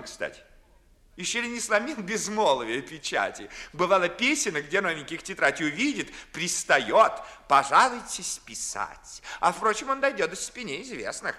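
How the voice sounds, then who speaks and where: An excitable, high-voiced, mocking young gentleman. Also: exaggerated voice. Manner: declamatory, eloquent, mocking. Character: witty, ardent, sharp-tongued young gentleman in love. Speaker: stage actor. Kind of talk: 1950s Russian theatrical radio acting in verse. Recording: early 1950s studio radio recording.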